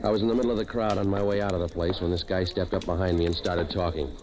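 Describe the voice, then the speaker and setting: An actor playing a cynical, wisecracking waterfront operator in old-time radio noir, dry and world-weary. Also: male voice, sits low, fairly even intonation, fast, background noise, lightly acted. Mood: world-weary, sardonic.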